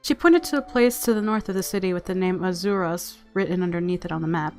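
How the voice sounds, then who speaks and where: A woman's voice: on the low side, fast talker, faint music behind. A woman narrating a visual novel aloud as a let's-player, mixing story reading with casual commentary.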